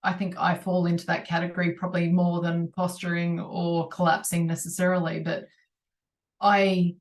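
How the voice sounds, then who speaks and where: A woman's voice: lowish voice, little pitch movement, normal speed. A woman co-hosting a podcast, chatting casually and reflectively, recorded at a distance from the microphone. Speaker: podcast co-host.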